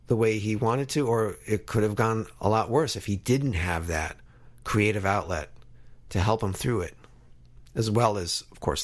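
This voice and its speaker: A man of fifty hosting a podcast in a casual, conversational style, talking directly to his listeners as he introduces things. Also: lowish voice. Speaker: podcast host.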